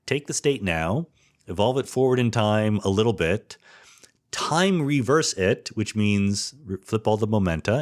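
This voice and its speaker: A man hosting a podcast, answering listener questions in an informal, explanatory way and correcting himself as he goes. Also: lowish voice. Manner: informal, didactic, self-correcting. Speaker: podcast host.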